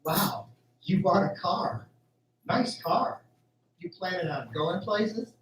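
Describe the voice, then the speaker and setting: Male actor for earnest, puzzled young farm lad, higher pitch, radio play with roomy echo. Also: expressive, slow pace, fairly bright tone, exaggerated voice. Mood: earnest, curious. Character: bewildered, earnest young male farmhand hero. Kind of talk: amateur radio drama acting. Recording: echoey room, faint background noise.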